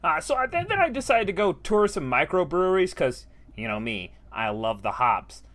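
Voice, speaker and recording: A man voicing an excitable, wisecracking frog puppet who hosts a comedy talk show, gushing and exclaiming with swooping emphasis. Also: on the high side, normal speed. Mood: chatty, wry.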